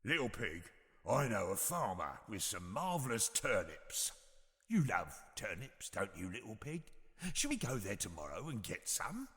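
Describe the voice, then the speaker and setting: A man voicing a big bad wolf from a children's storybook, gruff, greedy and menacing, in a low voice. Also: very animated, measured pace, fairly bright tone, in a large hall, exaggerated voice. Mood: sly coaxing.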